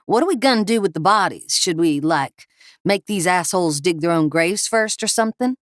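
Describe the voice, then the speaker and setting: A woman voicing a crude, whiny male meth cook who rattles off fast, argumentative complaints. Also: sits high, lively intonation, fairly bright tone, dry recording, studio quality, pitched above the performer's own voice. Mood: anxious.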